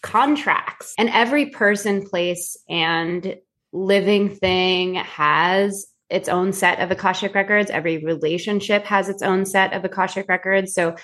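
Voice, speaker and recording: A woman in her twenties, a guest on a podcast, telling stories animatedly with many fillers and slowing down to explain. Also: mid-range voice, a bit bright. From Filipino heritage. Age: 20s. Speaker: podcast guest, psychic reader. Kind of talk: casual interview chat.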